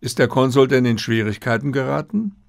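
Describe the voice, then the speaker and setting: A man voicing a brisk, self-assured detective who speaks in probing, inquisitive phrases in an audiobook. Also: mid-range voice, creaky, no room sound, clean studio sound, voice pushed up, lightly acted. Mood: probing, keen. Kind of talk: audiobook character dialogue.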